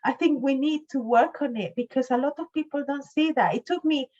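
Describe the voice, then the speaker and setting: A woman in her fifties hosting a conversational interview, halting and often repeating her words. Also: high voice, darkish tone, highs cut off. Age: 50s. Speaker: host, interviewer.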